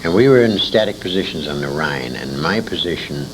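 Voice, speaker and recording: A man's voice: rumbling low, animated, a little fast. An older American man telling war stories conversationally, with an emphatic, dramatic delivery that leans on repeated phrases. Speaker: interviewee, war veteran and writer. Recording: noisy amateur recording with room ambience.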